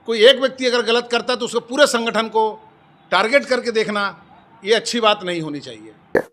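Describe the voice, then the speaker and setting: A man's voice: sits high, moderate pace, sharp tone. A man giving a firm, formal and emphatic statement as an organization's leader to an interviewer. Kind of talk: spoken statement to a news interviewer.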